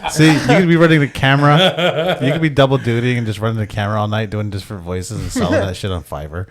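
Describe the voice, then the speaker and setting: A man's voice: mid-range voice, fast talker. A man co-hosting a comedy podcast, rambling through his theories in a casual, profane way. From Mohawk Nation. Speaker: podcast co-host.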